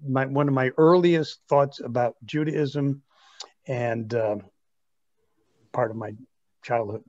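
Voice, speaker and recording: A man's voice: mid-range voice, slow pace, darkish tone, no room sound. Older male, casual storyteller, dry and dull call audio. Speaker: group participant.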